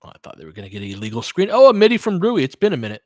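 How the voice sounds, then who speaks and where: A man's voice: on the high side, wildly swooping pitch, rapid-fire, dry recording. American man casually commentating, dry close sound. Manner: conversational, thinks aloud, answers listeners. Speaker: host of fan play-by-play livestream. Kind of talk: casual live basketball commentary and chat.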